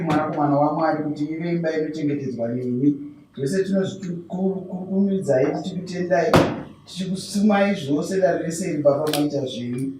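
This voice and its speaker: Male actor as reverent Zimbabwean prayer leader, solemn family drama, soft mellow tone, very roomy distant recording with faint noise. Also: fairly high pitch, lightly acted. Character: reverent man leading a family prayer at a bride-price ceremony. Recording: echoey room, distant mic, faint noise.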